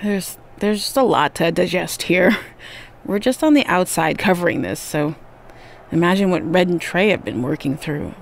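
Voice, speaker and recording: Creaky-voiced wrestling show pundit. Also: female voice, lowish voice, lively intonation, normal speed. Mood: sympathetic. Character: wrestling show co-host, reflective, sympathetic pundit. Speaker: preview show co-host. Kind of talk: broadcast commentary in a game cutscene.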